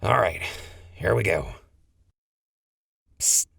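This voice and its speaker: A man playing a wry, scheming ghoul who leads a crew, smooth-talking and gravelly, in a post-apocalyptic audio drama. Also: on the low side, drawn-out words, a bit bright, no room sound, studio quality, exaggerated voice. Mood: coaxing.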